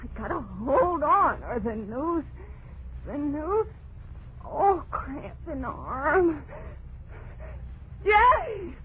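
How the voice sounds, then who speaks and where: Desperate murderess, old radio horror: high, wildly swinging voice, slow gasping pleas. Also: female voice, very animated, exaggerated voice. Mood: anguished, sobbing. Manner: melodramatic, gasping, pleading. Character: desperate murderous woman hanging from a noose. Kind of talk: 1940s radio horror acting. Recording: tinny, noisy old radio broadcast.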